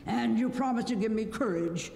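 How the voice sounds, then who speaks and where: A woman's voice: on the high side, normal speed, mellow, pitched above the performer's own voice. A woman voicing an anxious, needy cowardly lion with a pleading manner in a storybook reading. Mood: earnest. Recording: long hall reverb, clear background noise.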